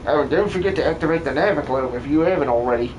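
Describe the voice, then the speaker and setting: A man's voice: a little high, very fast, darkish tone, close to an everyday voice. A man voicing a cowardly, cheeky miner with a Cockney accent and slangy, theatrical delivery in a video game fan dub. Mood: helpful, chatty. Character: cowardly, cheeky working-class male miner, grumbling and excitable. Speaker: streamer voice acting game characters. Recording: clearly noisy background.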